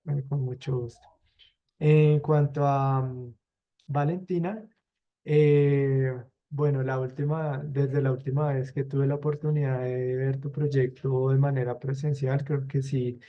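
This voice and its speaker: A man, a university teacher, giving academic feedback on a student's project with many fillers and pauses. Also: mid-range voice, dull audio.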